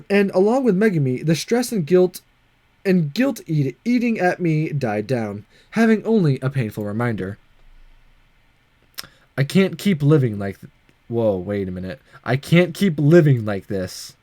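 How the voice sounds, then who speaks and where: A man's voice: on the high side, very animated, measured pace, dark tone, no room sound. A man hosting a gaming video, reading a visual novel aloud with casual comments and stumbling over the odd line. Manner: stumbles and rereads lines.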